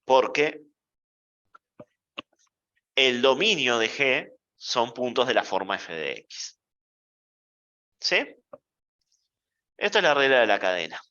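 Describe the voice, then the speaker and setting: Guy teaching math, slow and deliberate, bright voice, kinda muffled lo-fi recording. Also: medium pitch, expressive, clear, resonant tone. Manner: playful, deliberate, checks in with the class often. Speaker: university math lecturer. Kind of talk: classroom lecture.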